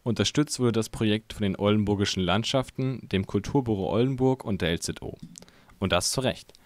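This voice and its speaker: Deep-voiced man narrating a news report. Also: brisk, dry recording. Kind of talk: scripted narration.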